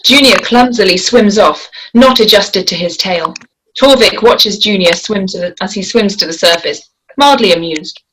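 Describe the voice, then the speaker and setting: A woman's voice: mid-range voice, normal speed, a bit bright, poor audio. Woman narrating screenplay directions, faint noise. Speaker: narrator reading stage directions. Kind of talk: scripted narration at a table read.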